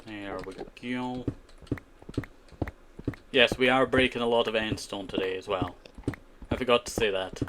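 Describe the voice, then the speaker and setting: A man with a lowish voice chats loosely while he plays, circling back with "you know" and "I tell you" as he answers viewers. The sound is a home streaming setup, with clear keyboard clicks and typing behind him. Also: fairly even intonation, measured pace, pauses often, background noise. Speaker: streamer playing a modded survival game. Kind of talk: casual live-stream chat while playing, answering chat.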